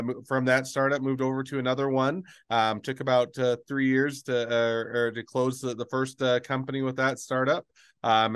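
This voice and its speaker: A man hosts a podcast with a smooth, resonant voice, recorded dry and close with no room sound. He talks in a halting way, full of fillers as he introduces his guest. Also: medium pitch, fairly bright tone, dry recording. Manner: hesitant, many fillers. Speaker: podcast host. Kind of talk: podcast interview.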